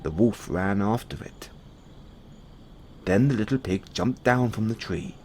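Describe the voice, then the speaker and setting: Lively low-voiced man reading bedtime stories. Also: a little slow, slight background noise. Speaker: audio roleplay performer. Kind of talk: bedtime storytelling.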